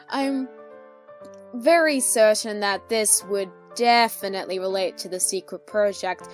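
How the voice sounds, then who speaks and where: A woman hosting a casual question-and-answer session, talking in a hesitant, rambling, lightly amused way. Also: medium pitch. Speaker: YouTube host answering fan questions. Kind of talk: casual Q&A chat. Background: soft music.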